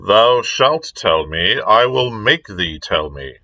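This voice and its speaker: Grave young king, measured and formal, slow and deliberate delivery, voiced by a man reading a story. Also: lowish voice, lively intonation, bright, forceful tone, heavily acted. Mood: stern. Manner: solemn, measured archaic speech.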